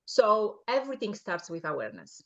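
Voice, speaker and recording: A woman's voice: mid-range voice, big pitch swings, fairly quick. Earnest Polish-accented woman coach. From Poland. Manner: earnest, often checks in with "right?". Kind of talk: coaching advice in an interview. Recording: muffled lo-fi audio.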